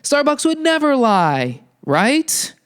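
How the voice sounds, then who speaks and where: A man's voice: wildly swooping pitch, slow pace, a bit bright. A young man hosting a casual health podcast, talking in a sarcastic, profane ramble full of fillers and restarts. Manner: puts on silly high voices for quoted people. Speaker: podcast host.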